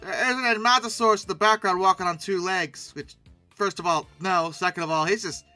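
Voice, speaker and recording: A video host giving casual, humorous commentary in an expressive, emphatic way with wry, sarcastic asides. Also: mid-range voice, a little fast, no echo, soft background music.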